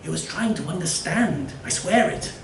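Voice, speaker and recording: A man's voice: sits high, moderate pace, gravelly, pitched above the performer's own voice. A man voicing scornful, suspicious villagers in a storybook tale, declamatory and emphatic. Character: scornful, suspicious villagers gossiping about an outcast. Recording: roomy, distant miking with faint noise.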